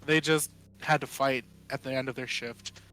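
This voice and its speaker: Mid-pitched man playing a tabletop game. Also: fairly even intonation, moderate pace, pushed and bright, rough recording. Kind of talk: casual in-game discussion, describing character's actions.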